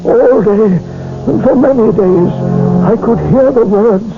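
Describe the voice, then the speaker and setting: An actor playing a harsh, haunted old English judge in a theatrical, declamatory radio drama style. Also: male voice, high-pitched, slow. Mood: haunted, weary. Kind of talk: 1970s radio drama acting. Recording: muffled old radio broadcast, dramatic music behind.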